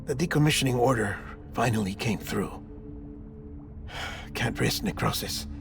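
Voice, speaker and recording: A gentle official, gravelly and hushed. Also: male voice, medium pitch, a little slow. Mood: somber, hinting. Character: melancholy, gentle male medical official, quietly conspiratorial. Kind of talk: video game cutscene acting. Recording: music behind the voice.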